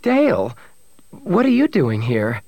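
Man voicing an earnest young woman, pitched up. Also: wildly swooping pitch, slow, a little mellow, dry recording, full character voice. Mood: surprised. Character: young woman, daughter fiercely defending her lover.

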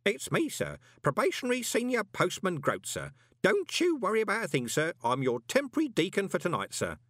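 A man voicing an obsequious, anxious old postman in an audiobook, deferential and folksy, with a hoarse edge. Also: high-pitched, huge pitch swings, fairly quick, fairly bright tone, no echo, clean studio sound, pitched above the performer's own voice, exaggerated voice. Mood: deferential, pious. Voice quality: hoarse, whispery when urgent. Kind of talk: audiobook character voice.

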